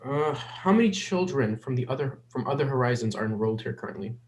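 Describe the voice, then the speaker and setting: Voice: mid-range voice, lively intonation, fast, a little hiss or hum, close to an everyday voice, rough recording. An actor playing a polite, reserved nonbinary psychology hopeful in their twenties in a dramatic script reading. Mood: curious, tentative.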